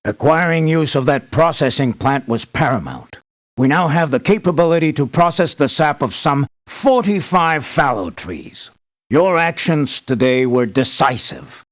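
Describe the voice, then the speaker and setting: An actor playing an earnest, measured male leader giving formal, deliberate briefings in a scripted video game. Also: fairly high pitch, animated. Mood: measured, approving. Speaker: mission briefer.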